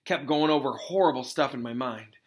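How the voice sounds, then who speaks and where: A man's voice: medium pitch, fairly quick, close to an everyday voice. A man voicing a giant, tearful, despairing creature lover from a storybook, with choked, rustic speech. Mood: gloomy. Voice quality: choked, sobbing delivery. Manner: rustic, dropped-word folksy speech.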